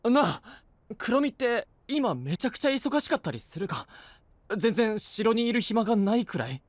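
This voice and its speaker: An actor playing a brash, troubled young male knight in an anime voice drama, speaking roughly and emotionally. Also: sits high, very animated, moderate pace. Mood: anxious, frustrated. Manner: rough casual speech, emotional. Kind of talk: Japanese anime voice drama acting.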